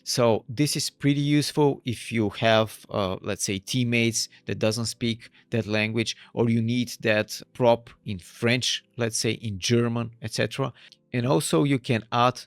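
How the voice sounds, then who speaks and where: A man from Bulgaria, a native Bulgarian speaker, walking through a software tool step by step in a relaxed tutorial style with slightly non-native phrasing. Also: medium pitch, a little slow, no echo. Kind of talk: screen-recorded software tutorial.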